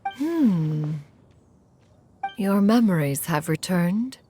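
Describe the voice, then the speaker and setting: A woman voicing an ancient, aloof female crane adeptus in a game, speaking in a measured, formal way. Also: lowish voice, animated, dark tone, soft background music. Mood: curious. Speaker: game character. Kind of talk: dubbed game dialogue.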